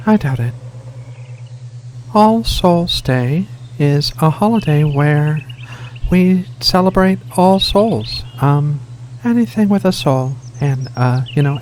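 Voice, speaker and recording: Man playing a soft, slow, bashful alien cat. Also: a little high, a little hiss or hum, close to an everyday voice. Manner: hesitant, halting, apologetic. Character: giant gentle alien cat researcher, bashful and affectionate. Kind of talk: soft-spoken ASMR roleplay.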